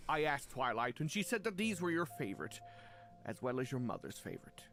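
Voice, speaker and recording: A man playing a reformed chaos creature in an animated drama, playful and theatrical, sincere and a little awkward. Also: mid-range voice. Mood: shy fondness. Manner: sincere, theatrical, halting when shy. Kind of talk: animated fan drama dialogue.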